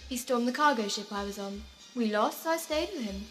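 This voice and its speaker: A woman's voice: on the high side, animated, a little fast, fairly bright tone, faint music behind. A woman playing a cynical, defiant space raider in an audio drama, curt and prickly. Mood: defiant, guarded. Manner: curt, prickly, self-possessed. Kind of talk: amateur audio drama acting.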